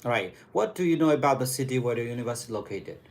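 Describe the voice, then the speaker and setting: Brisk man playing a visa officer. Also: mid-range voice, animated. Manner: brisk, direct questioning with quick approvals. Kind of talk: role-played interview questioning.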